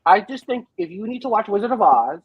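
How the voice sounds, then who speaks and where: A woman's voice: medium pitch, very animated, fairly quick, breathy edge, dry recording, highs cut off. A woman chatting casually as a podcast panelist about a film, slangy, profane and given to theatrical retellings. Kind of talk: casual animated chat.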